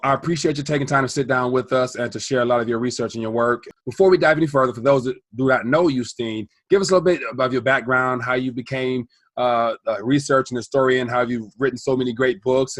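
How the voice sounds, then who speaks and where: A man's voice: mid-range voice, no echo. A man hosting a finance interview, asking long, rambling questions. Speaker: interview host. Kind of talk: podcast interview.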